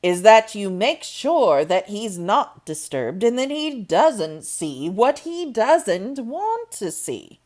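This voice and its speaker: A woman voicing a neat, thin old manservant with a husky voice and a curt manner. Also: medium pitch, big pitch swings, takes it slowly, hardly any pauses, a little mellow.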